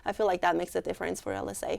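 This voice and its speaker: Young woman with a Mexican accent, gravelly. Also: lowish voice, little pitch movement, racing, a little mellow. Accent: Mexican Spanish. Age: young adult. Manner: earnest, heartfelt, many "like" fillers. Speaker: student club member, interviewee. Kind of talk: casual interview answers.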